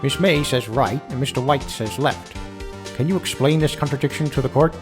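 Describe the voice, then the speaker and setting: A man playing a solemn, formal judge in a video game courtroom drama, speaking deliberately and with authority. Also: mid-range voice, lightly acted. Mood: questioning. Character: solemn, mildly bewildered male courtroom judge. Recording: faint music behind the voice.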